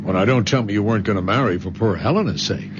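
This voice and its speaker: A man's voice: fairly low pitch, fast, natural voice. An actor playing an impulsive, indignant modern young man in an old-time radio drama. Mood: exasperated. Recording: muffled, very noisy vintage radio recording.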